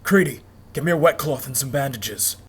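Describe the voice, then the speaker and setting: A woman voicing a calm, protective male refugee in a story reading, reassuring and plain-spoken. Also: extremely low pitch, normal speed, husky, pitched below the performer's own voice, heavily acted. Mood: urgent, firm.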